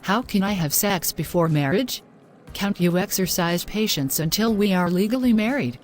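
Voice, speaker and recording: A woman's voice: medium pitch, expressive, normal speed, slight background noise, natural voice. A woman playing a devout, distressed young girlfriend in a scripted moral story, speaking earnestly in formal phrases. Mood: pleading.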